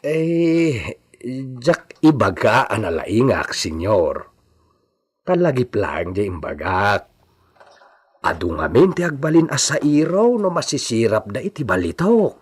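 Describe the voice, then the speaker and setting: A man's voice: medium pitch, big pitch swings, slow, breathy edge, heavily acted. A man playing a loyal servant in a radio drama, earnestly and deferentially cautioning his master.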